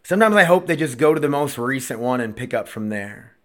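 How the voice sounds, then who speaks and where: A man's voice: medium pitch, lively intonation, fairly quick, a bit bright. Rambling, cursing man hosting a podcast. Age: 30s. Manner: rambling, profane, self-interrupting. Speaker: podcast host. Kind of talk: casual solo podcast.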